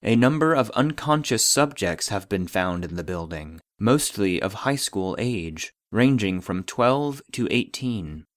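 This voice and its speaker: A man voicing an impersonal database, reading formal classified reports in a measured, clinical manner. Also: fairly low pitch, expressive, no echo, clean studio sound. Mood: clinical, detached. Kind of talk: scripted narration of a formal report.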